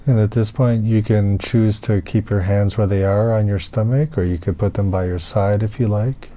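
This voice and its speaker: A man leading a guided meditation as a teacher, soothing and unhurried, pausing between phrases. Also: lowish voice, fairly even intonation. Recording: muffled, with clear background noise.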